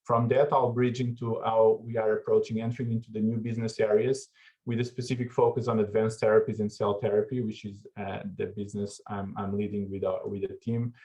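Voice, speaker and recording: A man from Portugal giving a keynote talk at an online conference, measured and full of fillers and restarts. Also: on the low side, flat delivery, mellow. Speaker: keynote speaker. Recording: dull lo-fi video call audio.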